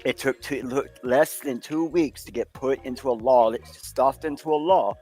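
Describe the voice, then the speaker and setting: A man's voice: mid-range voice, normal speed, a little mellow, faint music behind. Man earnestly explaining at length. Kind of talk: casual chat.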